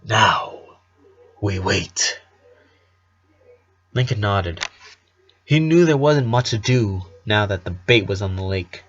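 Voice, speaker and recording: A man's voice: lowish voice, measured pace, a little hiss or hum. Man reading a story aloud, slightly dull recording. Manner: expressive, with stumbles and self-corrections. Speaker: amateur audiobook reader. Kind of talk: storybook narration with acted dialogue.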